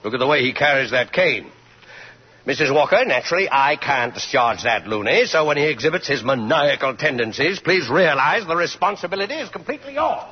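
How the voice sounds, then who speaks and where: An actor playing a pompous, irritable, blustering middle-aged official in 1940s radio drama. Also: male voice, fairly high pitch, lively intonation, a little fast, lightly acted. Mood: haughty. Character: pompous, irritable, blustering store examiner, married man. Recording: muffled, hissy 1940s radio broadcast.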